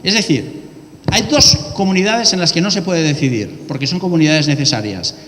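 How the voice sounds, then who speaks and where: A man's voice: on the high side, animated, moderate pace, a bit bright. A man giving an academic keynote lecture on law, often posing rhetorical questions and answering them himself. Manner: asks and answers his own rhetorical questions. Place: ceremonial hall in a courthouse. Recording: long reverb of a large hall.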